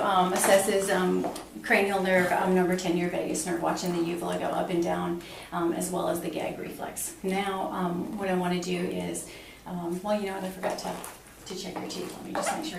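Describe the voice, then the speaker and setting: A woman's voice: fairly low pitch, little pitch movement, normal speed. Woman examiner in a very echoey room. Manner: polite, reassuring, instructing step by step. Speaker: examiner performing a physical assessment. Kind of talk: clinical exam talk to a patient, explaining each step. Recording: echoey room, distant mic, clear background noise.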